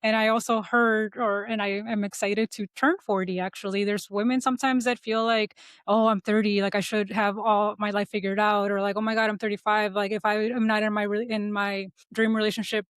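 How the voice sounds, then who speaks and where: A woman's voice: medium pitch, flat delivery, fairly quick, hardly any pauses, clear, resonant tone, no echo, clean studio sound. A woman of thirty-nine talking casually and reflectively as a life coach guest in an interview.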